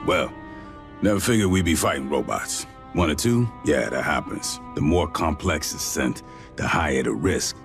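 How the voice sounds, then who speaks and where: A man's voice: rumbling low, animated, moderate pace, soft background music. A man playing a reflective soldier in a video game, speaking in a wry, plain-spoken, musing way. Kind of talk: scripted video game acting.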